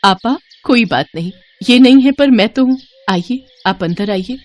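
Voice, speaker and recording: A woman's voice: a little high, animated, unhurried, slight background noise, voice pushed up. A woman voicing a meek, kind, sorrowful young widowed mother in a storybook tale, polite and plaintive.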